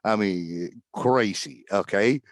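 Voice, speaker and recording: A middle-aged man from Cuba, a tax agent talking as a guest on a video call in a relaxed, rambling way with fillers and chuckles. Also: lowish voice, takes it slowly, no room sound, clean studio sound. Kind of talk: casual video-call interview.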